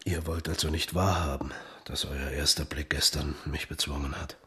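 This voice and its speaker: A man's voice: extremely low pitch, steady tone, moderate pace, bright, forceful tone, slightly rough, exaggerated voice. A man playing an amorous, imperious king in a radio drama, seductive, theatrical and commanding. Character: amorous, imperious baroque king, flattering and scheming.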